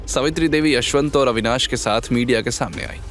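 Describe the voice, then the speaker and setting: A man's voice: mid-range voice, fairly quick, a bit bright, faint music behind. A man narrating a scripted story in a dramatic, suspenseful storytelling manner.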